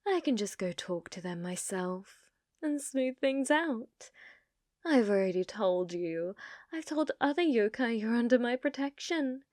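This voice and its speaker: A woman's voice: on the high side, expressive, unhurried, darkish tone, no room sound, clean studio sound. A woman voicing an ancient, possessive female yokai in an intimate ASMR roleplay, coyly sweet yet menacing, with a teasing manner that often drops toward a whisper. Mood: indulgent, protective.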